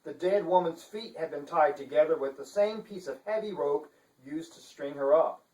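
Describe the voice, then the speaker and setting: Theatrical guy reading his novel out loud, pompous, highish voice, roomy and off the mic. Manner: pompous, theatrical, deliberate enunciation. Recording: echoey room, distant microphone.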